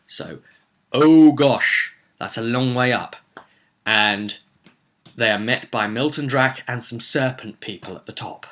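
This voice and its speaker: Bright-voiced man, theatrical tabletop game master. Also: mid-range voice, slow pace. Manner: theatrical, dramatic storytelling with casual asides. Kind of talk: recapping a tabletop roleplay session on a vidcast.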